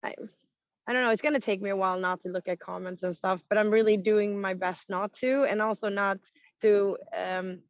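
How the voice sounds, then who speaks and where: A woman from Sweden, a rock singer with a naturally raspy voice, now extra hoarse from touring, talking frankly in a casual interview with frequent laughs and restarts. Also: medium pitch, steady tone, a little fast, dry recording, highs cut off. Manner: frank, laughs often, many fillers and restarts.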